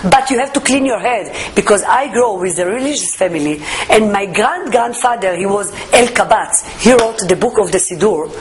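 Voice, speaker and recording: A woman with an Israeli accent, a native Hebrew speaker, giving an earnest personal faith testimony in halting English. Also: medium pitch, lively intonation, slight background noise. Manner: earnest, searching for words in English, emphatic.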